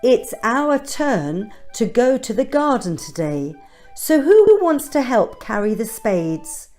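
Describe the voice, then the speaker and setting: Woman acting a lady teacher, brisk, encouraging, announcing to class, unhurried, storybook, music behind. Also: a little high, very animated, dark tone. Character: adult female schoolteacher, brisk and encouraging.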